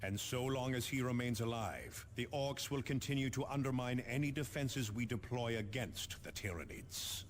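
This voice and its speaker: An actor playing a grave military officer, giving formal, dramatic mission briefings in a video game. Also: male voice, mid-range voice, normal speed, hardly any pauses, slight background noise, lightly acted. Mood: grave, resolute.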